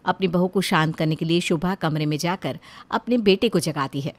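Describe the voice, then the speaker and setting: A woman's voice: lowish voice, moderate pace. A woman storyteller giving scripted narration for a cartoon moral tale.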